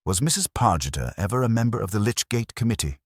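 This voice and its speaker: A man voicing a courteous, understated police inspector in a mystery story, gently probing in a measured, tactful, dryly polite way. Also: lowish voice, big pitch swings, no room sound, studio quality, pitched above the performer's own voice.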